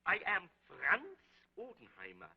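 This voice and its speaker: An actor playing a German restaurant owner who gives slow, halting testimony with a German accent, on an old film soundtrack. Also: male voice, high voice, huge pitch swings, gravelly, breathy edge, exaggerated voice. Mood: earnest. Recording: muffled 1930s film soundtrack.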